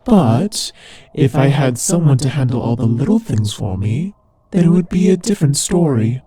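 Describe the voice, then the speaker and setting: A man's voice: medium pitch, expressive, normal speed, warm tone, husky, no room sound. A man playing a teasing, sardonic demon ruler of hell in a scripted roleplay audio drama, with a conversational, theatrical delivery. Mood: businesslike, pleased.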